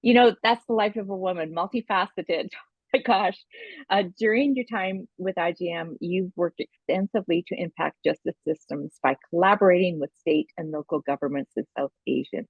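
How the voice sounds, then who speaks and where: Lower-pitched woman host, dry, slightly dull sound. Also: moderate pace, husky, no echo. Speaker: podcast co-host and interviewer. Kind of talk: conversational guest introduction.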